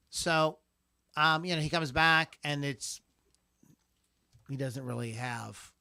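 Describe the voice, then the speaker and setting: A man's voice: a little high, lively intonation, slow pace, bright, forceful tone, no echo, clean studio sound. A man hosting a casual talk show, rambling loosely with lots of fillers, restarts and the odd curse. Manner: loose and profane. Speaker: podcast host. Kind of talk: casual chat about songs.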